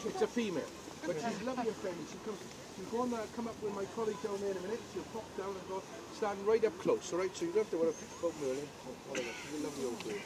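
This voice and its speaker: A man's voice: high voice, rapid-fire, noisy background. An older Welsh man giving a jokey, chatty guided tour outdoors at a historic castle, often asking the crowd questions.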